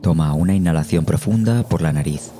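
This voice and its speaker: Very deep, dark-voiced man guiding meditation. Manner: slow, soothing, measured delivery with long pauses. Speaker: meditation guide. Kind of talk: guided meditation narration. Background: meditation music.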